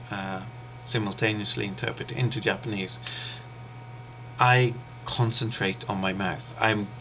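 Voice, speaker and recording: A man whose first language is English, teaching an interpreting course in a steady, explanatory way with pauses and fillers. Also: on the low side, a little hiss or hum. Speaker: interpreting instructor. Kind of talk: explanatory online lecture.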